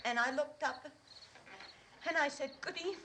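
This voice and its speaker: Very high-pitched, hysterical young woman. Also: bright, forceful tone. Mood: dazed, fearful. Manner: halting, repetitive, desperate. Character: traumatized, hysterical young woman in a horror film. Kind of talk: 1960s film acting. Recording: noisy old film soundtrack.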